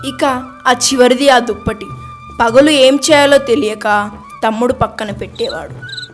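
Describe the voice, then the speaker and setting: A woman's voice: sits high, wildly swooping pitch, a little slow. A woman narrating a scripted moral story for children. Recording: music under the voice. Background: music.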